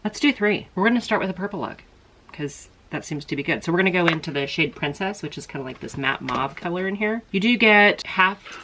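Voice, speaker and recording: A middle-aged woman speaks in a low register, her voice slightly stuffy from congestion. She chats casually and rambles a little, poking gentle fun at herself as she talks through a makeup look. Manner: chatty, rambling, self-deprecating. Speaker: beauty vlogger. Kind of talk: casual makeup tutorial chat.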